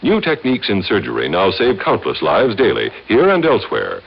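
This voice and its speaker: An American man announcing a scripted 1950s charity appeal in a solemn, measured, emphatic way. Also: on the low side. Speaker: radio announcer. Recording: muffled vintage radio recording.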